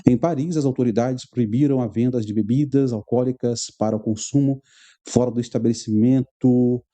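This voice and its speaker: The man with a Rio accent you would find on a live religious stream, reading the headlines in a deep-shaded, mellow tone and chatting to viewers. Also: moderate pace. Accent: Brazilian, Rio de Janeiro. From Brazil (Rio de Janeiro). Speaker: host of a religious commentary show. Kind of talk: live commentary, reading news aloud with asides.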